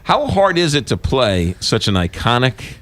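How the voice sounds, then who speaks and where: A man's voice: medium pitch, very animated, unhurried, a bit bright. An American man hosting a casual radio interview on a close studio microphone. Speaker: radio show host.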